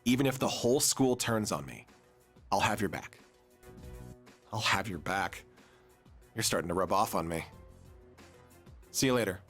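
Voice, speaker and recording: A man playing a composed, loyal, formal high school student in a video game. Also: lively intonation, normal speed, long pauses, fairly bright tone, soft background music.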